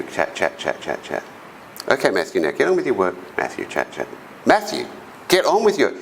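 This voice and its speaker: A man's voice: mid-range voice, slight background noise, rough recording. A middle-aged man with an Australian accent and a big voice, telling personal stories as a conference speaker and acting out the voices of the people he quotes. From South Australia. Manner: animated storytelling, acts out quoted voices. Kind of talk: storytelling presentation. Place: conference hall.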